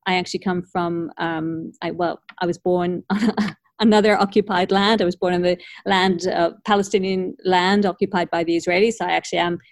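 A woman who grew up in Ireland, hosting an academic conversation in a thoughtful, measured way with frequent hedges. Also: fairly low pitch, steady tone, no echo, clean studio sound. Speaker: podcast host.

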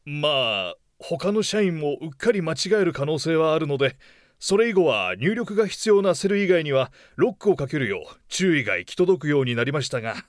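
A man playing a mild, reasonable office manager in an audio drama, polite and measured. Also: on the high side, big pitch swings, dry recording, studio quality.

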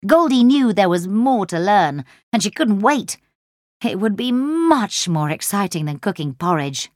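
A woman's voice: mid-range voice, very animated, measured pace, dry recording. A woman reading a children's story expressively as a scripted audiobook narration, close on the microphone. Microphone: close studio microphone.

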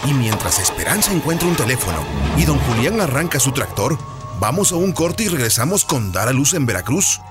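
A man's voice: mid-range voice, sing-song, a little fast. A man reading scripted narration as a radio drama announcer. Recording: loud music and engine behind.

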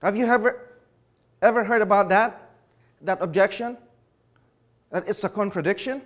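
A man's voice: high voice, moderate pace. Filipino-born man giving a church talk. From Philippines. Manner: explanatory, asks the audience questions. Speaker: conference speaker. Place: conference hall.

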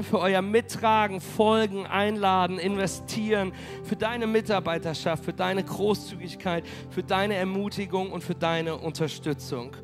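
A man preaching as pastor, energetic and rallying his congregation, with a cold that makes him hoarse. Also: high voice. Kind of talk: sermon. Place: church hall.

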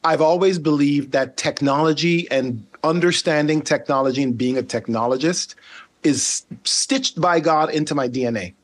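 A man's voice: on the high side, moderate pace. A man whose first language is Dutch, raised in the Caribbean, speaking as a guest in a conversational interview, thoughtful with frequent fillers and restarts. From Saint Martin, Caribbean. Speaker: guest, ethical hacker.

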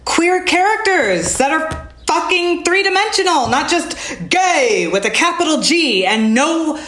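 A woman's voice: high-pitched, animated, unhurried, fairly bright tone. Woman playing an impassioned American teenage girl, echoey room. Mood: fired up. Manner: emphatic, earnest, casual swearing. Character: fired-up, idealistic queer teenage girl activist. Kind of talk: naturalistic audio drama dialogue. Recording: roomy, echoey space.

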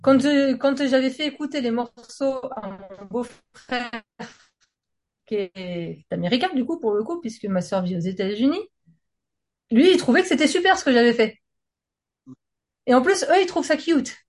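A woman with a French accent and a fairly high-pitched voice. She talks freely and informally, with slangy wording, as in a relaxed conversation between acquaintances. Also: moderate pace. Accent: French from France. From France. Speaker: singer, guest. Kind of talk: casual conversation.

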